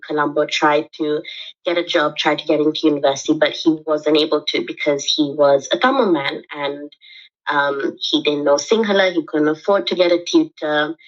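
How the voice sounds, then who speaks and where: Young woman on a podcast, low voice, keeps saying um, kinda dull muffled sound. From UK and Sri Lanka. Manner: hesitant, many ums and restarts. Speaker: guest, human rights advocate. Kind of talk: interview answer, personal story.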